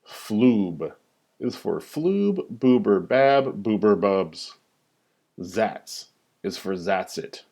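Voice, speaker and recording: A man reading a children's rhyming picture book aloud like a teacher, with a theatrical, singsong delivery and drawn-out emphasis. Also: on the low side, very animated, gravelly, dark tone.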